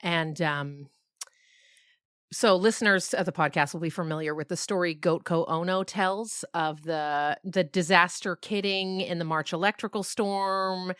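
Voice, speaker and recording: A woman's voice: on the low side, steady tone, measured pace, a bit bright, clear, resonant tone, no room sound, clean studio sound. A woman podcast creator chatting casually and informally, as if to friends. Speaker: podcast creator and host, being interviewed. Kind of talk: casual chat.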